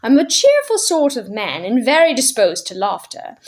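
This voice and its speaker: A woman's voice: on the high side, wildly swooping pitch, moderate pace. A woman voicing a cheerful, round, bald uncle who bubbles with laughter. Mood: cheery.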